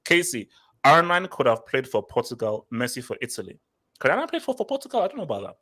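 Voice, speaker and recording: A man born in London and raised in Nigeria, hosting a casual football chat and reading out viewer comments, talking in an animated way and often repeating words. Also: medium pitch, quick pace. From born in London, grew up in Nigeria. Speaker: podcast host.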